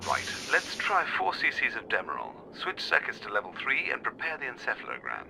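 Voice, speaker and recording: An actor playing a clinical, sinister interrogator scientist in a video game, prim and menacing. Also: male voice, mid-range voice, wildly swooping pitch, fairly quick, creaky, background noise. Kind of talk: video game cutscene acting.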